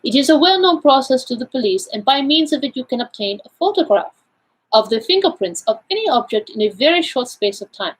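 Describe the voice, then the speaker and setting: A woman voicing a courteous, self-assured Belgian male detective in an audiobook, speaking in a deliberate, formal, explanatory way. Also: high-pitched, lively intonation, husky, pitched above the performer's own voice, low-quality recording. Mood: precise, explanatory. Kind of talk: audiobook character dialogue.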